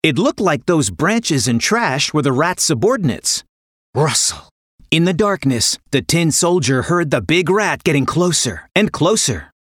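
Expressive woman storyteller, animated pitch. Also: measured pace, no echo, studio quality. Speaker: storyteller, podcast narrator. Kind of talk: scripted children's story narration.

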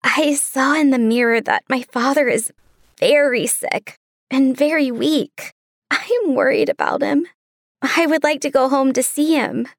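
Woman as sweet, high-voiced young fairy-tale maiden. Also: a little slow, dry recording, clean studio sound. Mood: worried, pleading. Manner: sweet, earnest. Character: kind, earnest, gentle young merchant's daughter. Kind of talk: children's fairy tale audio drama.

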